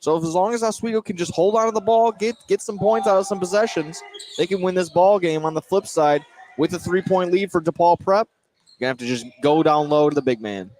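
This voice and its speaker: A man's voice: high-pitched, a little fast, darkish tone, slight background noise. An American man giving colour commentary on a live basketball game.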